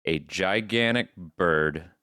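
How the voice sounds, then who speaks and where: Very deep-voiced man recapping casually. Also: slow, sharp tone, dry recording, clean studio sound. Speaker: podcast co-host. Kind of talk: casual recap of horror videos.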